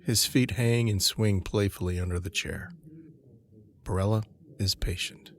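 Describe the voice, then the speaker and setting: Deep-voiced man narrating an audio drama. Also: steady tone, a little slow, slight background noise.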